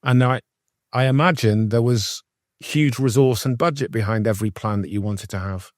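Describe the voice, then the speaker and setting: A man's voice: mid-range voice, moderate pace, no room sound, clean studio sound. A middle-aged man hosting an interview, chatty and enthusiastic, with lots of fillers and long winding questions. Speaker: interview host, former journalist.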